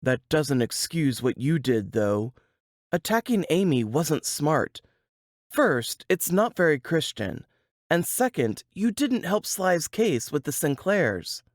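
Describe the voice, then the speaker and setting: A woman reading a storybook, voicing a gruff, grim but protective young man with a terse, sighing delivery. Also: on the high side, sing-song, slow pace, no echo, studio quality. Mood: stern.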